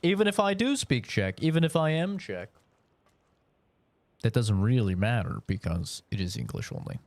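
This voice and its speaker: A man's voice: medium pitch, expressive, moderate pace, dry recording. Laid-back young man streaming a game. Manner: rambling, laid-back, swears casually. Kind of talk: casual live-stream chat while gaming.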